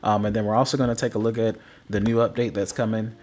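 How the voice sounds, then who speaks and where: A man's voice: fairly low pitch, little pitch movement, fast talker, a little mellow. Sick, rambling gaming host man. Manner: rambling, apologetic, many fillers. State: sick, partly lost voice. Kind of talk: casual commentary over patch notes. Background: faint background music.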